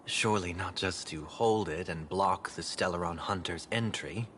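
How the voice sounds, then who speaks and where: An actor playing a reserved, skeptical gentleman in a video game, speaking formally and with restraint. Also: sits low, normal speed, slight background noise. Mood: guarded, skeptical.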